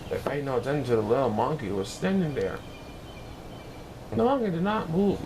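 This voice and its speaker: A man reading a children's picture book aloud in an expressive, dramatic way, occasionally stumbling over words. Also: a little high, background noise. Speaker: storybook reader, show host.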